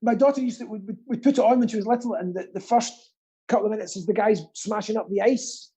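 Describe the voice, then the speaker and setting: Husky, mellow man, playfully camp. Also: high voice, fast. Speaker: quiz host. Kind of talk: live online quiz banter.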